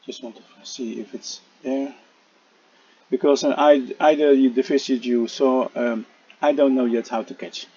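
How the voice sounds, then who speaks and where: A man narrating a casual gameplay tutorial, speaking haltingly with frequent "uh" fillers and restarts. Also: mid-range voice, fairly even intonation, mellow, husky, muffled recording. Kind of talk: casual game tutorial voice-over.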